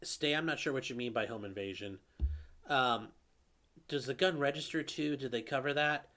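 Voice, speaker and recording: A man's voice: mid-range voice, normal speed, a bit bright. A man, a lawyer hosting a live stream, answering viewer questions in a casual, rambling way with fillers and restarts. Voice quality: calls his own voice nasally; a viewer calls it velvety.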